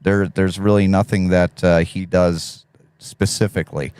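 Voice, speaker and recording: A man with a deep, smooth radio voice, low in pitch, hosting a relaxed live stream. He reads viewers' chat aloud, offers advice and laughs easily. The sound is dry and close. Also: unhurried, no echo. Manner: laid-back, reads chat messages aloud, laughs often.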